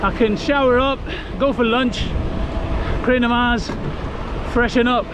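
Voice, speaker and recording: A high-voiced man from Europe talks cheerfully to his camera as he cycles along a coastal road. He thinks aloud, drifting through fillers and loose asides. Heavy traffic and wind noise roar loudly around him on the open road. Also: steady tone, measured pace. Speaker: touring cyclist vlogger. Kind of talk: casual chat to camera while cycling. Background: passing cars and traffic.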